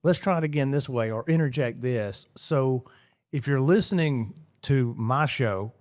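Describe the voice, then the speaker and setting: A man's voice: medium pitch, measured pace, a little mellow, no room sound, studio quality. A man from Georgia, a radio DJ chatting casually in an interview, rambling and repeating his words. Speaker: radio DJ guest.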